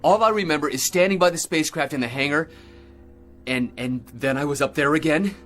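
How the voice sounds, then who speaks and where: An actor playing a shaken male astronaut major in a radio drama, speaking in a halting, earnest way. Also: on the high side, a bit bright, soft background music, close to an everyday voice. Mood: urgent, insistent.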